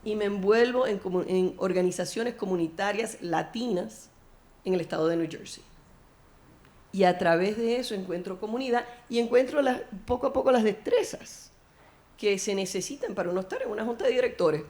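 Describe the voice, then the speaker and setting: A middle-aged woman with a Puerto Rican Spanish accent speaks on a forum panel, recounting her path in an earnest, reflective tone. Her pitch sits in an ordinary middle range, and her phrasing often restarts mid-sentence. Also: expressive, normal speed, a little mellow. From Puerto Rico. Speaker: panel speaker, former elected official. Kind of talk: personal speech at a panel forum.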